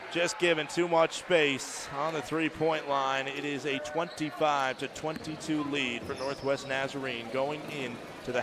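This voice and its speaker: A man giving live play-by-play of a college women's basketball game, chatty and opinionated, getting louder at close plays. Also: fairly high pitch, gravelly. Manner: chatty, opinionated, rises to shouting at big plays. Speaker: play-by-play announcer. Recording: noisy arena broadcast feed. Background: gym ambience and ball bounces.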